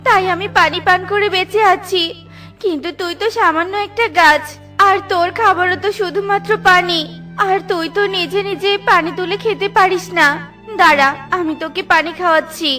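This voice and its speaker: A young girl bird in a children's cartoon, hurt but polite. The voice is extremely high and bright, with a plaintive, pleading edge. Music plays clearly behind it. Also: normal speed. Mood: earnest, plaintive. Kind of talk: children's cartoon story acting.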